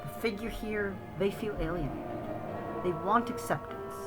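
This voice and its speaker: A woman's voice: mid-range voice, measured pace, close to an everyday voice. A woman voicing an earnest, plain-spoken artist, the heroine of a visual novel. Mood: sincere. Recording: loud music over the voice.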